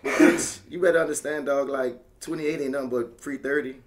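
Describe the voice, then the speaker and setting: New orleans guy in his 30s, fast talker, keeps explaining and laughing, podcast banter. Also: mid-range voice, fairly even intonation. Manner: emphatic, laughs often, drawn-out explanations. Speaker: podcast co-host. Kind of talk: casual chat.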